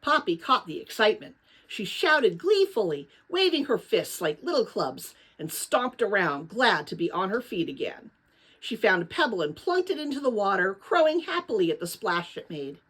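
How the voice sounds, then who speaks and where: A woman reading a children's novel aloud as narrator, with dramatic, expressive storytelling. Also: mid-range voice, normal speed, husky, low-quality recording.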